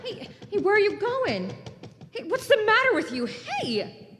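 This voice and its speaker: A woman's voice: extremely high pitch, wildly swooping pitch, measured pace, fairly bright tone, soft background music, exaggerated voice. A woman in a live radio play acting a brazen, flirtatious streetwalker with a coaxing, sassy manner. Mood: indignant. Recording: reverberant hall, faint noise.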